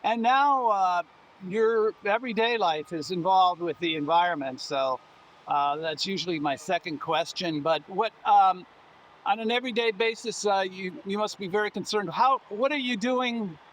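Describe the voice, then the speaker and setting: A man interviewing for an oral history project, asking questions haltingly with many fillers. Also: high voice, a bit bright, a little hiss or hum, poor audio.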